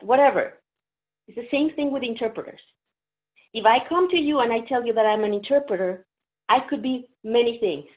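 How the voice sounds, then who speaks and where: A woman from Mexico, a native Spanish speaker with a Mexican Spanish accent, giving a friendly, explanatory interpreter training presentation on a video call. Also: a little high. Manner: friendly, explanatory, uses fillers. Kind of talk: webinar presentation. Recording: phone-like narrow audio.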